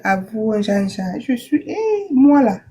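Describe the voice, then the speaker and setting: A woman's voice: medium pitch, measured pace, warm tone. A woman from Brooklyn hosting a casual live call-in stream, chatty and teasing as she gives advice. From USA (Brooklyn, New York). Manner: chatty, teasing, laughs often. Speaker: live stream host giving visa advice.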